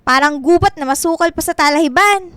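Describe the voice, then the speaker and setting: A woman's voice: very high voice, fairly quick, fairly bright tone, no room sound. A woman playing a blunt, nosy middle-aged mother in a radio drama, teasing and probing emphatically. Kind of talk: Filipino radio drama acting.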